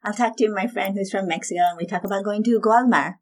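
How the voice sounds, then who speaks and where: A woman's voice: mid-range voice, fast talker. A woman from the Philippines co-hosting a podcast, chatting casually and animatedly, often slipping into quoted voices. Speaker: podcast co-host. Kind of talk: casual chat.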